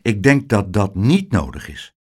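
A man voicing a kindly grown man in a children's storybook, brisk, encouraging and reassuring. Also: fairly low pitch, sing-song, darkish tone, husky, heavily acted.

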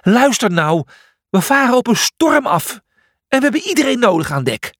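Man acting out a bossy, urgent zebra hero, raised pitch. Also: sing-song, measured pace, creaky, husky, no echo, studio quality, exaggerated voice. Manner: urgent, exasperated, shouting. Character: bossy, determined young male zebra gladiator champion. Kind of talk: children's storybook character voice.

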